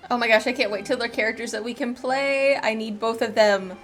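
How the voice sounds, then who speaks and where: A woman's voice: a little high, steady tone, brisk. A woman streamer giving casual commentary while playing through a game. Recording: faint game music behind.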